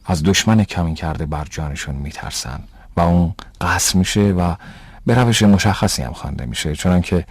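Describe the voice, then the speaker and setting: A man voicing a pious, fatherly older traveler in a cartoon, husky, reassuring and instructive. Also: deep voice, no echo. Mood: explaining. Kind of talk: dubbed cartoon dialogue.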